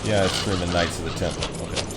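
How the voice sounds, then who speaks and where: A man chatting casually as a game streamer, informal and halting as he thinks aloud. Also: sits low, steady tone, very noisy. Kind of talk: casual livestream chat.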